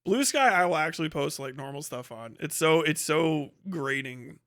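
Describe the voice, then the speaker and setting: A man's voice: a little high, animated, normal speed, fairly bright tone. A man hosting a livestream, giving casual commentary and ranting in a sarcastic, mocking, heavily profane way. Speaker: livestream host. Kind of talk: casual reaction commentary, reading posts aloud and ranting.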